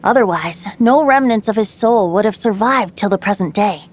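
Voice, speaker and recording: A woman's voice: medium pitch, lively intonation, moderate pace, close to an everyday voice. A woman playing a playful, youthful bard in a video game, telling tales in a lilting, theatrical, poetic way. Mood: knowing. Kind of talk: video game voice acting, storytelling.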